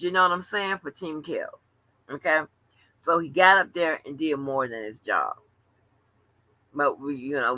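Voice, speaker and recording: A woman in her seventies holds forth on a late-night stream, loose and rambling, salting her talk with slang. Her voice is sharp and tense. The sound is dry, with no room in it. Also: low-pitched, no echo, poor audio. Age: 70s. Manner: chatty, rambling, salty slang. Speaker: livestream host. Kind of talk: casual late-night commentary.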